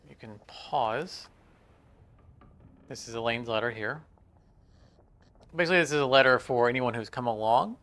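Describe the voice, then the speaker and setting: A man's voice: medium pitch, sharp tone, smooth. A man hosting a gaming video, giving a casual, informal, explanatory walkthrough. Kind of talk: casual game walkthrough commentary.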